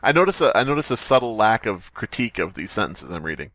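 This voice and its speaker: A man's voice: lowish voice, big pitch swings, quick pace, fairly bright tone, a little hiss or hum. A man co-hosting a comedy podcast, reading a story aloud in a jokey, sweary way and breaking off to mock the text. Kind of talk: comic read-aloud with casual riffing.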